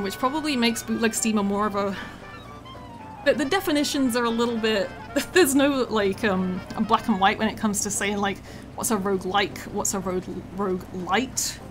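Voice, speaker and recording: A woman's voice: mid-range voice, fairly even intonation, moderate pace, rough recording. Chatty woman live-streaming a game. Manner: chatty, with many ums and laughs. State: slightly losing her voice. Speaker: game developer streaming gameplay. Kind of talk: casual live-stream chat. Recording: music playing behind.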